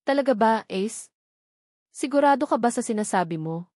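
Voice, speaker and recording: A woman's voice: a little high, animated, normal speed, darkish tone, no room sound, studio quality. Firm, bitter young mother, played by a woman. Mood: challenging. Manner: firm, emphatic, challenging. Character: bitter, resolute young single mother confronting her former lover.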